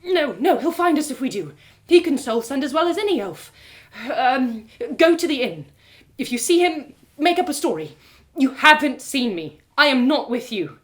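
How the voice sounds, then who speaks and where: A woman's voice: high voice, very animated, moderate pace, fairly bright tone, pitched above the performer's own voice. Woman acting a wary, firm female adventurer. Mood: urgent, commanding. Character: wary, urgent female sword-training travel companion.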